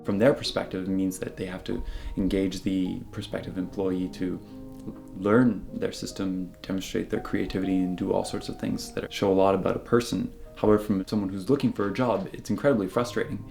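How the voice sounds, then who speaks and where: Male interviewee, lowish gravelly voice, measured and articulate, stating opinions. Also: flat delivery, a little mellow, soft background music. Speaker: job seeker interviewee. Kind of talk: documentary interview, reflective opinion.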